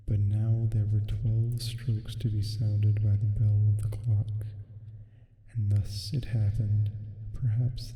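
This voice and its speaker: A man plays a sinister demon reading a gothic bedtime tale in ASMR style. The voice is very dark and low, slow and solemn, murmuring softly in a long, echoing reverb. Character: calm, sinister male sleep paralysis demon reading bedtime horror. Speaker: ASMR storyteller. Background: music.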